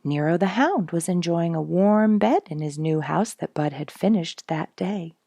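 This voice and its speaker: Woman narrating a kids storybook, low creaky voice, really close dry sound. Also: moderate pace, warm tone, no echo. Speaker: storybook reader and host. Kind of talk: scripted children's story narration.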